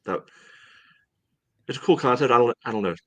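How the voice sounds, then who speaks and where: A middle-aged man hosting a casual sports podcast, meandering and halting, often padding his sentences with filler phrases. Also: medium pitch, no room sound. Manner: halting, meandering delivery with frequent "you know". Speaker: podcast host.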